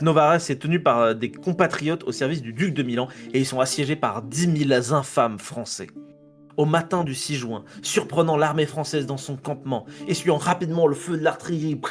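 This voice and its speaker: A French man presenting a history segment on a casual podcast. He reads from his notes, stumbles over foreign names and jokes about his own slips. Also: medium pitch.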